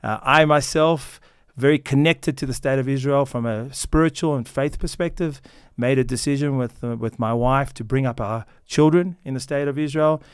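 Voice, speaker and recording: Earnest man with a smooth voice. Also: medium pitch, no room sound, clean studio sound. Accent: American. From United States. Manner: measured, earnest, many fillers and restarts. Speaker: company founder guest. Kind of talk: interview answers.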